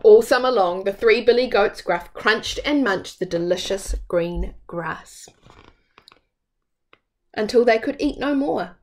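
A woman's voice: medium pitch, takes it slowly, darkish tone. A woman in her thirties reading a children's story aloud expressively, as a storyteller at home. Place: small room at home.

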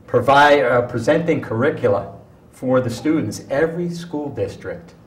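A man's voice: medium pitch, lively intonation, normal speed. An older man talking as a guest in a studio panel discussion. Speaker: interview guest. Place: small TV studio, round table. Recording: roomy, distant mic, faint noise.

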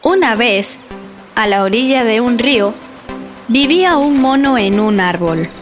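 A woman storyteller reading a children's cartoon tale. Her voice sits slightly high and stays gentle and clear. Cheerful music plays beneath her. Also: animated, unhurried. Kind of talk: scripted bedtime-story narration for children. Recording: narration over music.

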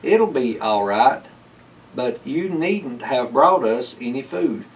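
A man's voice: mid-range voice, expressive, takes it slowly. Man reading as a hopeful old storybook fellow, from afar. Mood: modest. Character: injured old man with a hopeful, practical temper. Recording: distant mic, slight background noise.

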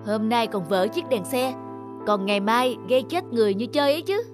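A woman's voice: fairly high pitch, animated, a little fast, over music, close to an everyday voice. A woman voicing a stern but kind-hearted young businessman in a cartoon, speaking in a firm, lecturing way. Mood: explaining. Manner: firm, lecturing, matter-of-fact. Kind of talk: cartoon voice acting.

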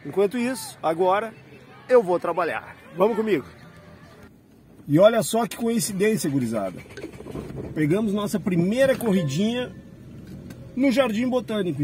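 A man's voice: high-pitched, a little mellow, slight background noise. Chatty man vlogging. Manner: chatty, pointing things out to viewers. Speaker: rideshare driver and travel vlogger. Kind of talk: casual walking-tour vlog.

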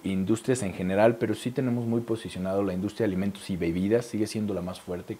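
A man's voice: fairly low pitch, mellow, breathy edge. A man in his thirties with a Mexican Spanish accent, an event organizer talking fluently and promotionally in a studio interview, with a lapel mic. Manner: fluent promotional explaining with fillers.

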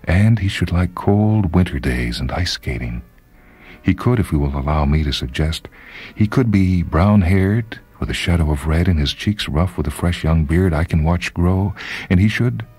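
A man voicing a lonely, flustered middle-aged woman with a halting, yearning delivery in a story reading. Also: deep voice, lively intonation, darkish tone, breathy edge, pitched above the performer's own voice.

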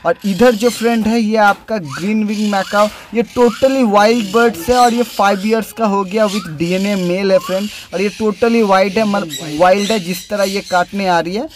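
A man's voice: high voice, steady tone, moderate pace, hardly any pauses. A young man narrating a casual vlog voice-over, chatty and calling the listener "friend" again and again. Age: young adult. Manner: chatty, repeats "friend" often. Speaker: bird breeder vlogger. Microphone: dubbed voice-over after filming, no mic on site.

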